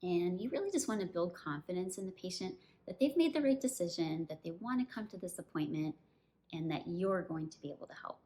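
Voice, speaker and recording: A woman dentist and coach giving an instructional presentation, explaining things clearly step by step. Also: on the low side, expressive, brisk, warm tone. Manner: clear step-by-step explaining, with acted example phrases.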